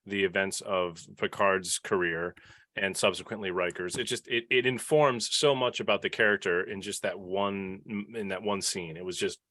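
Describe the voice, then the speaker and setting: A man's voice: deep voice, fairly even intonation, normal speed, bright, forceful tone, no room sound. A man talking casually as a podcast panelist, often restarting the beginnings of his sentences. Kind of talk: casual panel discussion of a TV series.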